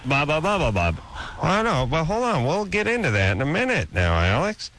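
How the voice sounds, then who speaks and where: A man's voice: fairly high pitch, huge pitch swings, fairly quick, fairly bright tone. A man hosting a radio call-in show, bantering casually in a blunt, mocking way and often cutting in.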